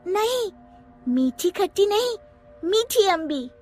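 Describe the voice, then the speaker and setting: Woman as a high-pitched sweet little girl. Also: sing-song, slow pace, a little mellow, soft background music, exaggerated voice. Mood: playful. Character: sweet, innocent, hardworking young village girl.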